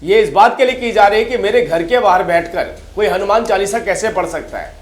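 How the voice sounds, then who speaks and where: Male political panelist, high voice, emphatic and repetitive, roomy echoing sound. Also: brisk. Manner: emphatic, repetitive, rhetorical questioning. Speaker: debate panelist. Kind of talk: heated political debate argument.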